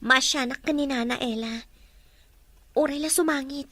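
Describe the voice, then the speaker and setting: A woman's voice: high-pitched, normal speed, bright, forceful tone, a little hiss or hum. A woman with an Ilocano accent playing a helpful young daughter and loyal friend in a radio drama. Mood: concerned.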